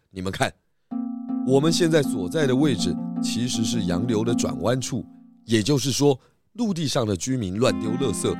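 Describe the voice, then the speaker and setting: Man acting as a low-voiced, authoritative detective chief. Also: lightly acted. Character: calm, righteous male detective chief giving verdicts and advice. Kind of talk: children's detective radio drama acting.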